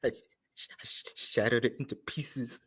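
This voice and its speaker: A man's voice: medium pitch, steady tone, fairly bright tone, slightly rough. Actor as a bitter, grieving young man. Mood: grieving, choked. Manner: emotional, halting, with heavy pauses and repeats. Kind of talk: dramatic stage monologue.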